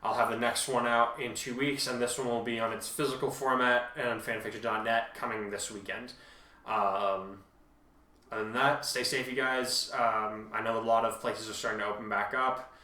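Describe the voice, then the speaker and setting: A man hosting a casual fan discussion, talking in a rambling, halting way and often correcting himself mid-thought. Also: medium pitch, steady tone, pushed and bright, far from the mic. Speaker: host and fan fiction author. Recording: echoey untreated room.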